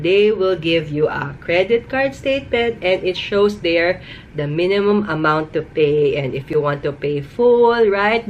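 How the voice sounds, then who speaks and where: A woman's voice: on the low side, highs cut off. A woman in her thirties from the Philippines with a Filipino accent, giving casual, emphatic advice in a vlog and repeating words for stress. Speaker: vlogger. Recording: noticeable background noise.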